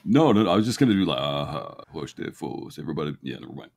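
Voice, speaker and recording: A man's voice: extremely low pitch, sing-song, quick pace, gravelly, darkish tone. A man trading casual, joking banter on a live comedy podcast. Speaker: podcast panelist.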